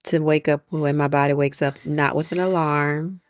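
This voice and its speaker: A woman's voice: sits low, fairly even intonation, moderate pace. An American woman with a folksy, country-sounding way of talking, bantering casually as a podcast co-host with her husband.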